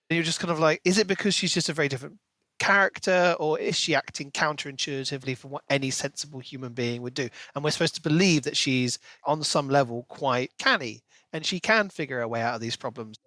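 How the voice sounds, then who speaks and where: A man's voice: mid-range voice, fairly quick, pushed and bright, no room sound. A British man co-hosting a podcast, casually discussing a book in an analytical, questioning way. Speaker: podcast co-host.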